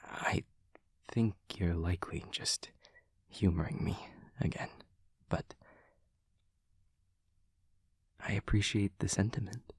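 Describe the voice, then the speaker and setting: A man playing an awkward, earnest young demon classmate, speaking softly and breathily with hesitant stammers and stiffly formal wording in an intimate audio roleplay. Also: deep voice, unhurried, no echo, whispered, full character voice. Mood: unsure, tender. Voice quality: soft, breathy, husky. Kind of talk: intimate audio roleplay, whispery ASMR-like acting.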